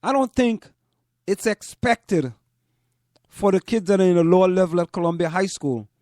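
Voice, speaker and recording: High-pitched man, deliberate talker. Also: animated, warm tone, no room sound, studio quality. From Trinidad and Tobago. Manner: deliberate, repeats phrases for emphasis. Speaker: host of a community parents' talk show. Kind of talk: spoken commentary to listeners.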